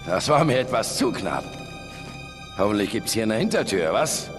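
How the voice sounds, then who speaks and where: An actor playing a gruff older male treasure hunter, wry and grumbling, with a gravelly voice, in a dubbed action video game. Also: fairly low pitch, sing-song, normal speed. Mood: urgent, gruff. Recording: music behind the voice.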